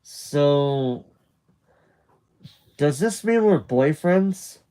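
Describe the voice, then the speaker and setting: A man's voice: fairly high pitch, animated, drawn-out words, warm tone, clear, resonant tone, pitched above the performer's own voice. A man voicing a shy, emotional teenage boy in a story, tentative and earnest.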